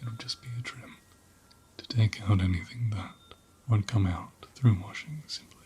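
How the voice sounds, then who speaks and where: A man playing a gentle, caring ram in an ASMR roleplay, speaking in a soft, breathy half-whisper with a soothing, tender manner. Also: lowish voice, normal speed, a little hiss or hum, lightly acted. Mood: soothing, reassuring. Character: gentle, caring male ram tending an injured lamb.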